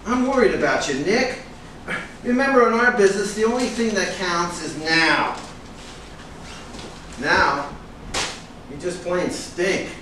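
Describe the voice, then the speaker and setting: In a noisy, echoing room, far from the mic, a pushy and fretting talent agent holds forth , acted by a man at a staged reading. He speaks slowly and haltingly in a high voice, with a dramatic touch. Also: animated. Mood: accusing, worried. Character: pushy, fretting male talent agent, accusing then flattering.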